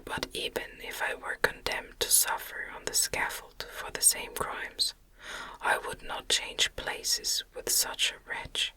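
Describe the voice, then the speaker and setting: Slow, bright whispering woman narrator. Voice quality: breathy, unvoiced whisper. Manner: gentle, soothing, deliberate. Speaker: audiobook reader. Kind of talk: ASMR whispered reading of a gothic novel.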